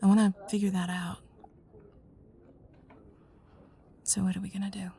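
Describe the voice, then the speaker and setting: A woman playing a young student in love with her best friend, in an intimate ASMR roleplay, speaking softly and hesitantly, often near a whisper. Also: medium pitch, level tone, very fast, slight background noise, natural voice. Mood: nervous, hopeful. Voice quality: soft breathy, husky, often near whisper. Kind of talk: intimate ASMR roleplay, one side of a dialogue.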